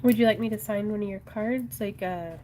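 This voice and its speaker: A woman's voice: medium pitch, brisk, a little hiss or hum. A woman hosting a crafting livestream, chatting casually and reading viewer comments aloud, congested and losing her voice with a sinus infection. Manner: chatty, reads viewer comments aloud, frequent soft laughs.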